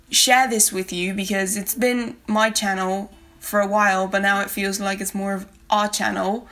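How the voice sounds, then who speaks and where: A woman's voice: mid-range voice, fairly bright tone. A young woman from South America, a native Spanish speaker with a light Spanish accent, rambling casually in a friendly voice-over about her art channel. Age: young adult. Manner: rambling, friendly, many fillers. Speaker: art YouTuber. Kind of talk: casual voice-over while drawing. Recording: faint backing guitar music.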